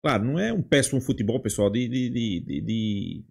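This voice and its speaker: Halting Brazilian man, casual football commentary. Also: mid-range voice, expressive, vocal fry, darkish tone, slightly rough. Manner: halting, checks in with tag questions. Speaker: football channel host.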